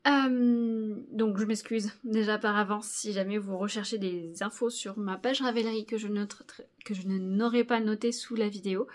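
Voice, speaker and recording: A woman in her thirties from Normandy, chatting casually to camera in a knitting vlog, hesitant with many fillers and quick laughs. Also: mid-range voice, a little mellow. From Normandy, France. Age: 30s. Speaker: knitting video host. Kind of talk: casual monthly vlog chat.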